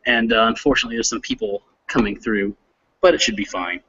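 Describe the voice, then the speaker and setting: A man's voice: fairly low pitch, expressive, quick pace, sharp tone, slightly rough, highs cut off. A man teaching an online English reading class, friendly and a little hesitant, with lots of fillers. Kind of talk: online class, casual instruction. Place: classroom, not his usual one.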